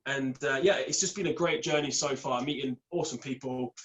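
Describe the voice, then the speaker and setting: A man's voice: medium pitch, a little fast, a bit bright. A young Scottish man with an English accent, chatting casually on a call as a podcast guest, rambling upbeat through his story. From Scotland. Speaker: podcast guest, beard product entrepreneur. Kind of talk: casual chat.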